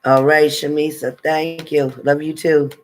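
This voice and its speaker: A man with a somewhat high voice talks casually on a livestream. As a tarot reader, he greets and thanks viewers by name, gives friendly advice, and laughs often. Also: level tone, a little slow, soft background music. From New Jersey.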